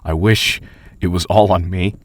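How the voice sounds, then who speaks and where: A man playing a guilt-ridden, haunted character who has given up hope, speaking in a halting, pleading way in an audio drama. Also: deep voice, fairly even intonation, slight background noise. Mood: guilty, despairing. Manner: halting, pleading, lapsing into whispers. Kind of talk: dramatic audio fiction acting.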